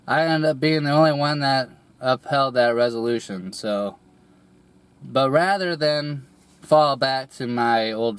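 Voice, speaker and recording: A young man getting over a cold, his voice a little raspy, telling a personal story slowly with many pauses, fillers and throat clearing. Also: mid-range voice. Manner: hesitant, rambling, many fillers and restarts, clears throat often. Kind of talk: candid personal monologue.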